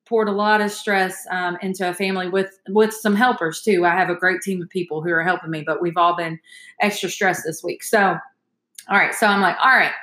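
Lady in her 30s rambling through a story on her podcast, bright voice, echoey room. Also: fairly low pitch, brisk. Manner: chatty, addresses listeners as y'all, animated. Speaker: podcast host. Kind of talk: casual storytelling chat.

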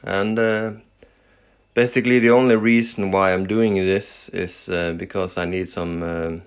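Slow, deep-voiced Norwegian man, hesitant. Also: fairly even intonation, smooth. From Norway. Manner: hesitant, many fillers and restarts. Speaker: podcast host. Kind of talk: casual solo podcast.

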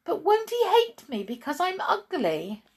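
A woman's voice: extremely high pitch, wildly swooping pitch, measured pace, voice pushed up, heavily acted. A woman voicing a sad, self-pitying but eager young dog in a children's story, lamenting and yelping plaintively. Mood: eager, anxious.

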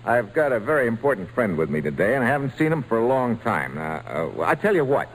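An actor playing a big, good-natured American baseball star in 1940s radio drama style. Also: male voice, medium pitch, very animated, fast, natural voice. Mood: jovial, harried. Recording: noisy old-time radio broadcast recording.

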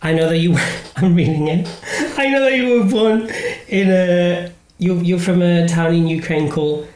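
A man with a British accent hosting a casual podcast interview, talking in a halting, self-deprecating way with many restarts. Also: high-pitched, darkish tone, reverberant. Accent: British English. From Spain. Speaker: podcast host.

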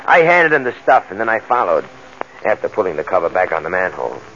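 Eager small-time new crook, slangy working stiff, old radio crime show, dull sound w/ some hiss. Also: male voice, sits low, big pitch swings, fast talker, a bit bright, exaggerated voice. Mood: matter-of-fact narrating. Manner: colloquial, tough-guy slangy. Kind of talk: 1940s radio crime drama acting.

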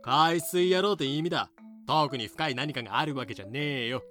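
A man playing a blunt, gruffly caring plantation overseer in a fan audio drama. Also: medium pitch, a little fast, a bit bright, faint music behind, lightly acted. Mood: brisk, blunt. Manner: rough, blunt masculine speech. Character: rough plantation overseer, gruffly caring, deferential to his mistress.

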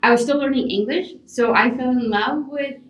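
A woman from Venezuela, a podcast guest, answers in an animated, chatty way with many fillers. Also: high voice, moderate pace. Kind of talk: casual interview answers. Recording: roomy, distant remote mic.

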